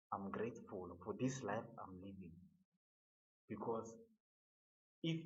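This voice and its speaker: A man giving an earnest motivational monologue, halting and often repeating his words. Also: on the low side, lo-fi sound.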